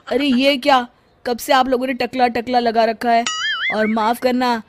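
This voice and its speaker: A woman voicing a proud middle-aged mother who takes offence quickly and scolds her guests. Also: a little high, level tone, over music, voice pushed up, close to an everyday voice. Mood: furious. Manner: indignant scolding.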